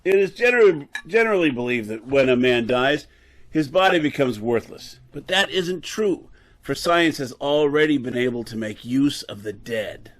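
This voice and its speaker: A man's voice: medium pitch, expressive, normal speed, close to an everyday voice. A man playing an embittered, drunken, disgraced professor and brain surgeon in an old-time radio drama, lecturing and faltering over his words.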